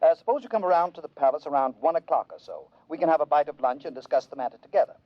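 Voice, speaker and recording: Radio-play part: male actor as courteous, resigned overlord, fairly high voice, genteel hesitations, dull vintage recording. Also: animated, racing, no echo, lightly acted. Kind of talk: 1950s radio drama acting.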